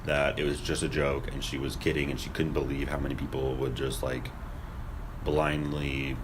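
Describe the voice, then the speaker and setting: A young man with a remarkably deep voice talks casually on a podcast. He questions things skeptically, and clear noise runs behind his voice. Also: little pitch movement, normal speed. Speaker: podcast co-host. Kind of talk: casual conspiracy discussion. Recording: noisy room recording.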